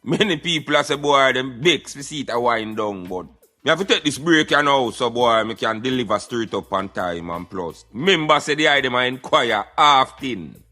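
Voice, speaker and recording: Animated, bright-voiced Jamaican man hosting. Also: mid-range voice, normal speed. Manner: patois, repeated "yeah man" interjections. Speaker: radio show host. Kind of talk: casual monologue to listeners. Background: music.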